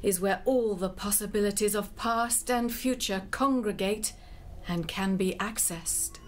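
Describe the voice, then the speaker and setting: A woman giving measured, scripted storytelling narration as part of a dramatic play. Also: mid-range voice, a little hiss or hum. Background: music.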